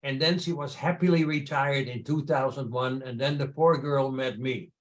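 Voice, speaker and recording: A man's voice: medium pitch, steady tone, moderate pace, poor audio. An older man with a Dutch accent telling personal stories in a conversational way as an interview guest. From Netherlands. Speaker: podcast guest, entrepreneur and charity founder.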